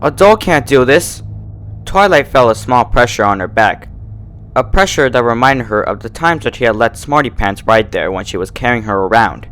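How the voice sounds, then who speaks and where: A man's voice: medium pitch, normal speed. A man narrating a story in a dramatic, scripted reading style. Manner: animated, dramatic.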